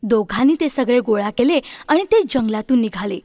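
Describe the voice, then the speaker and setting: Woman, children's story narrator, high voice, scripted delivery, very dry recording. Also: lively intonation, normal speed, a little mellow, no room sound.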